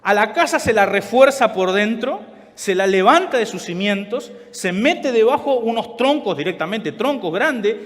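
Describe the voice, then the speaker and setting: A man preaching to a congregation, his voice sitting high and swinging widely in pitch. He speaks with a conversational manner, often checking in with his listeners. The large church hall leaves a long echo trailing after him. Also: lively intonation, normal speed. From Chile. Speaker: pastor. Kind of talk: sermon.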